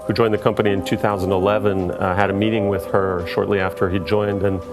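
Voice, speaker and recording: A man with a very low, flat voice recalls a story, stopping often on "uh" and "um". Loud music plays under him throughout the documentary clip. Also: quick pace. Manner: hesitant, many uh and um fillers.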